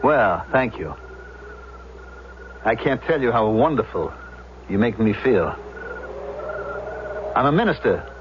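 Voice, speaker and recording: A man's voice: medium pitch, sing-song, takes it slowly, long pauses. An actor playing an outwardly mild, evasive man in a 1970s radio drama, speaking politely and a little hesitantly. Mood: ingratiating. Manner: polite, hesitant, smooth-talking. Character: outwardly mild, evasive husband and secret firebug. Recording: slightly noisy, dull vintage radio.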